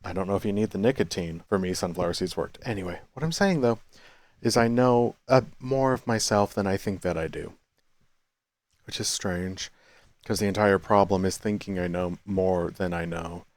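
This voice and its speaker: A man's voice: low voice, normal speed, darkish tone. A man vlogging in a rambling, reflective monologue, thinking aloud with frequent restarts and self-corrections. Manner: quits smoking, chews sunflower seeds. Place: cafe.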